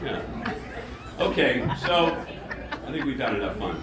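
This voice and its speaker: Deep-voiced man joking from a stage. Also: sing-song, measured pace, far from the mic, heavy background noise. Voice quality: deep speaking voice. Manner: jokey, self-mocking, chuckling as he talks. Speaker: singer performing a live show. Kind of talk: stage banter between songs. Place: music club stage, indoors. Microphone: stage mic heard from the audience. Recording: echoey club taped from the crowd. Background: loud cheering crowd and band.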